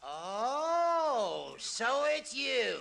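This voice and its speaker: An actor in 1940s radio comedy playing a snappish, imperious, short-tempered judge. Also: male voice, extremely high pitch, big pitch swings, drawn-out words, gravelly, heavily acted. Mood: indignant, stern. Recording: noisy old-time radio recording.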